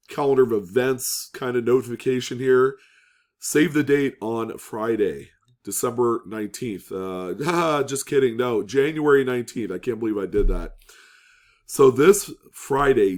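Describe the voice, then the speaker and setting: A man hosting a coin market show, talking casually with an upbeat manner and lots of fillers, his voice raspy and slightly hoarse. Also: fairly low pitch, a little mellow. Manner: upbeat, filler-heavy talk with many "um"s and "uh"s. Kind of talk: casual presenting of auction results.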